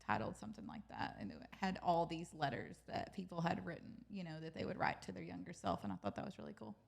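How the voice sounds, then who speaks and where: A woman's voice: lowish voice, sing-song, fast, creaky. A woman in her thirties co-hosting a podcast, talking frankly and laughing often. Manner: frank, laughing, drops to a quiet tone. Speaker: podcast co-host. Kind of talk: casual chat.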